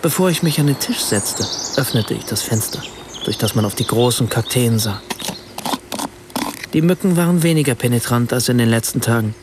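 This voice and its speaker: An actor as an observant writer, narrating in the first person for a radio play with precise, understated literary phrasing. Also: male voice, medium pitch, expressive, moderate pace, background noise, close to an everyday voice. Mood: composed, observant. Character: observant German writer, husband and father, narrating a visit.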